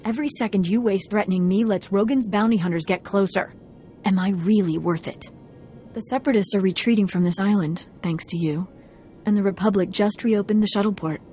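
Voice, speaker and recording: A woman's voice: mid-range voice, fairly quick, mellow, faint music behind, close to an everyday voice. An actress playing a scheming, persuasive female informant in a video game, calculating as she bargains. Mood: urgent bargaining.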